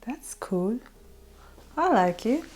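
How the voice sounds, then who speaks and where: Slow, enthusiastic woman with a Persian accent. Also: a little high, very animated, a little mellow. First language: Farsi. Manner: enthusiastic, gushing, non-native phrasing. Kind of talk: casual product showcase.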